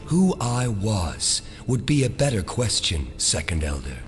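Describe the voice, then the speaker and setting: A cold, vengeful villain in an audio drama. He speaks slowly and theatrically, with wide swings of pitch and open menace, over a music score. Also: very animated. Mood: cold contempt. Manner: theatrical, deliberate, menacing oratory. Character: vengeful resurrected former victim, cold menacing male mastermind.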